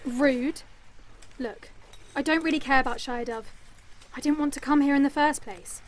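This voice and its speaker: A tough, hard-drinking monster hunter, voiced by a woman with a fairly high voice. She talks bluntly and swears without hesitation. She is a fantasy audio drama heroine who bows to no one. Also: moderate pace, slight background noise, lightly acted. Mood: defiant. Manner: blunt, foul-mouthed and defiant.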